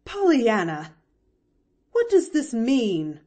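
A woman reading aloud, voicing a stern middle-aged spinster aunt who speaks in sharp, clipped commands. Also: a little high, wildly swooping pitch, takes it slowly, heavily acted.

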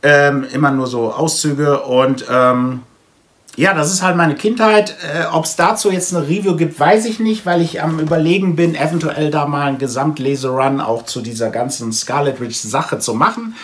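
A man in his forties talks casually to camera from a room at home. His voice sits a little higher than most men's. He rambles along, thinking out loud as he reviews his collection. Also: moderate pace. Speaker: comic book vlogger. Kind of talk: casual chat to camera, reviewing a comic haul. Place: small room at home with packed shelves, indoors.

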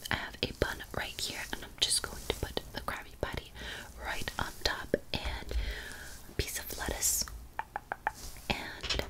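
A woman whispering as a friendly, cheerful cartoon sponge fry cook in a breathy ASMR roleplay, close to the mic. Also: natural voice, rough recording. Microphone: close desktop condenser microphone.

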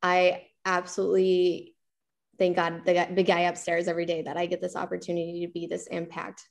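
A woman's voice: on the low side, monotone, a little fast, vocal fry. A woman in her thirties chatting casually and enthusiastically as an interview guest and fitness coach on a video call. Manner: enthusiastic, conversational. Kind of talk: casual chat.

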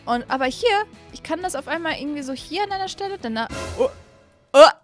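A young woman hosting a gaming video, giving casual, animated and excitable commentary into a close desk microphone. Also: high voice, a little fast. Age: young adult. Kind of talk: casual let's-play commentary. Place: small indoor streaming room. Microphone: close desk microphone on an arm. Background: faint music.

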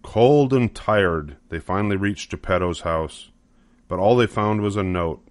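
Low-voiced man reading a children's story. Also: unhurried, no echo. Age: older. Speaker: storybook narrator. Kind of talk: scripted children's story narration.

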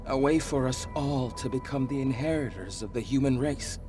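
An actor playing a solemn, remorseful elder leader of monsters in a video game cutscene, speaking in a measured, earnest way. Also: male voice, medium pitch, over music, close to an everyday voice. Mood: solemn, persuasive.

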